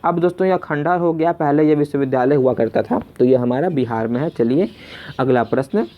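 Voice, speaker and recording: A man's voice: fairly high pitch, brisk. A man presenting a spoken exam quiz lesson, conversationally repeating each question before giving the answer. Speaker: quiz teacher and video host. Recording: dull lo-fi voice recording.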